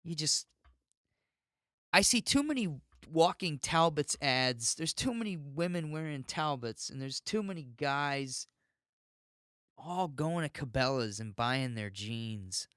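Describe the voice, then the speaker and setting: A man's voice: fairly high pitch, expressive, slow pace, fairly bright tone, clean tone, dry recording, studio quality. An American man hosting a podcast alone, rambling and ranting in an unfiltered, sweary way. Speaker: podcast host. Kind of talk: rambling solo podcast monologue.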